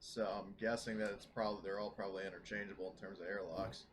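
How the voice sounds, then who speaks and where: A man's voice: low voice, little pitch movement, fast talker, background noise. A man taking part in a tabletop game as a player, chatting casually.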